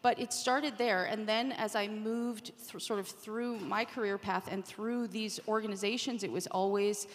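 A woman's voice: medium pitch, steady tone, moderate pace, hardly any pauses. A woman speaking on a conference panel in an informal, emphatic, conversational way. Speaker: podcast industry revenue executive, panelist. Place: large room at a conference panel. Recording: echoey hall with long reverb.

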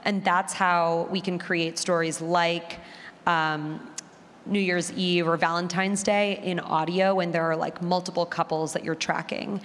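A woman's voice: fairly low pitch, flat delivery, measured pace, a bit bright. A woman speaking as a panelist, explaining her work in a discussion. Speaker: panelist, audio drama maker. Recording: echoey hall, distant room sound.

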